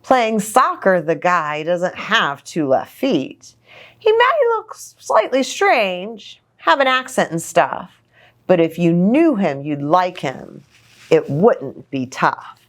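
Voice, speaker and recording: Woman reading slowly and expressively to kids. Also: on the low side, very animated. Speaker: children's story reader, church pastor. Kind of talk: reading a picture book aloud to children.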